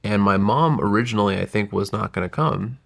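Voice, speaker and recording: A man's voice: on the low side, brisk, vocal fry. A man in his forties whose first language was Gujarati, telling his life story as a podcast guest in a reflective, winding way that often corrects itself. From United States. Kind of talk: casual interview storytelling.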